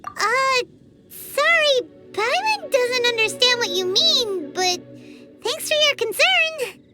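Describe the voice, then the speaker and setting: A woman's voice: expressive, takes it slowly, heavily acted. A woman voicing a tiny, chatty female companion sprite in a game, who flusters easily and speaks of herself in the third person. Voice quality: very high, squeaky. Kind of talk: game voice acting. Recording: game audio with loud music behind.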